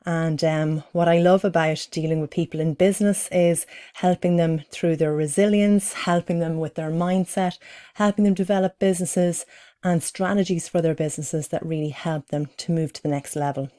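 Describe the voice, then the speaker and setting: Irish female business coach, thirties, low mellow voice, steady and encouraging delivery. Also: moderate pace. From Ireland. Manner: steady, encouraging, uses fillers. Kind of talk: presentation to camera.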